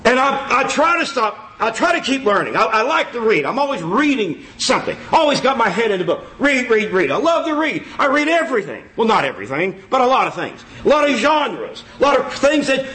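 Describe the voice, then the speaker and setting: Folksy, high-voiced preacher man. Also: sing-song, fairly quick, hardly any pauses. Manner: folksy, self-mocking, repeats phrases, loud and exclaiming. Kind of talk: informal sermon. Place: church.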